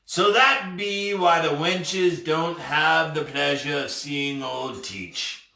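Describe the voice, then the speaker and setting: A man acts out a ghostly pirate captain for a storybook, burly, sly and full of bluster. He drags out his archaic seafaring phrases very deliberately, with a bright, forceful edge. The sound is roomy and set back from the mic. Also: on the high side, full character voice. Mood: exasperated. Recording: roomy, echoey, distant mic.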